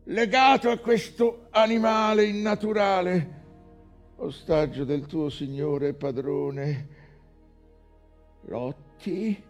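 An actor playing an anguished, defiant shipwrecked whaling captain in a theatrical monologue, delivered in halting phrases that swing between hushed dread and cries. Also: male voice, high voice, fairly even intonation. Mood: defiant struggle. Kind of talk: dramatic theatrical monologue, literary stage acting. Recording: music under the voice.

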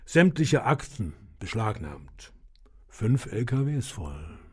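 An actor in a radio drama playing a menacing, condescending clinic director who speaks deliberately and with revolutionary zeal. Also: male voice, on the low side, very animated, breathy edge, heavily acted. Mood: insinuating. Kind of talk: German radio drama acting.

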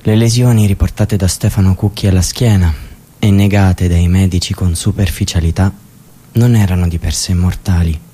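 A man giving grave, measured, indignant scripted radio narration. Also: deep voice, dark tone, a little hiss or hum.

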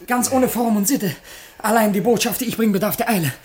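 Sly scheming servant, husky voice, oily flattering, old-school radio drama style. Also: male voice, high-pitched, fast. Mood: breezy, mock-urgent. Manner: insinuating, mock-servile, storytelling with relish. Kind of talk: theatrical German radio play acting.

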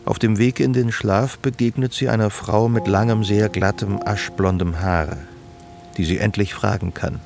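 A man's voice: lowish voice, steady tone, normal speed, creaky, darkish tone, soft background music. A man delivering scripted narration as the narrator of a radio drama.

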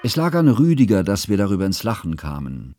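An actor in a radio drama playing a learned chronicler, narrating in a measured, formal, literary manner. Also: male voice, lowish voice, huge pitch swings, music in the background. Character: learned, formal male chronicler telling of his friend. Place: dry studio.

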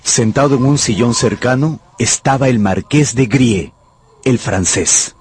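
A man's voice: mid-range voice, a little slow, darkish tone, a little hiss or hum, close to an everyday voice. An actor playing an impassioned, lovestruck young tutor who tells his story in a radio drama. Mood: troubled narration. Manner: fervent, theatrical. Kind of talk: dramatic first-person narration and radio drama acting in Spanish.

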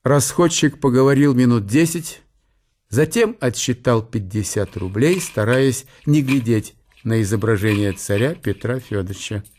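A man's voice: mid-range voice, animated, normal speed, darkish tone, a little hiss or hum. Man narrating a period radio play.

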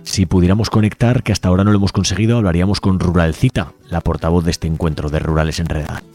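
A man hosting a community radio program, presenting in a relaxed way and sometimes stumbling over words. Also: low voice, quick pace. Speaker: radio host. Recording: faint music bed behind.

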